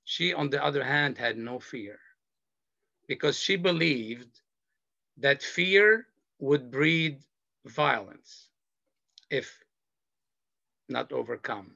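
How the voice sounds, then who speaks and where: A middle-aged man from Lebanon, a panelist sharing a personal story in a slow, earnest, measured way over a video call. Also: mid-range voice, expressive, vocal fry, sharp tone, no echo. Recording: dull video call audio.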